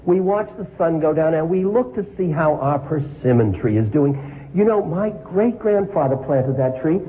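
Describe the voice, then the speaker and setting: A man voicing a tiny, cheerful old man in his seventies who talks with gentle delight, heard through a tinny lo-fi line. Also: a little high, pitched above the performer's own voice, close to an everyday voice. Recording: tinny phone-like lo-fi sound.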